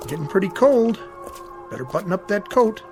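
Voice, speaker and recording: A high-voiced, friendly school caretaker. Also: male voice, animated, normal speed, over music. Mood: friendly, casual. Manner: casual, clipped, folksy phrasing. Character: friendly, practical male school caretaker, plainspoken and easygoing. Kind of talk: dramatic radio play acting.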